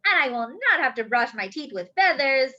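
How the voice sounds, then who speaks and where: Old grandfather acted by a woman, storybook. Grumbly yet jokey, exaggerated, very lively intonation. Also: sits high, normal speed, exaggerated voice. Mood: comic grumbling. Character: grumbling, joking old grandfather, exasperated but good-humoured. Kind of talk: storybook character voice. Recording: dull lo-fi recording.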